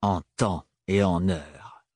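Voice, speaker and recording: A man reading aloud and voicing a tall, stern, gruff man who speaks curtly and flatly. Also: deep voice, slow, dry recording, studio quality, pitched below the performer's own voice, full character voice. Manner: curt, gruff, flat like an accountant.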